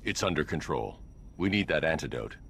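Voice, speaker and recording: An actor with an American accent playing a grim, commanding masked vigilante in a video game, stern and terse. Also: male voice, very deep voice, sing-song, fairly quick, a bit bright, a little hiss or hum, heavily acted. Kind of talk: video game dramatic acting.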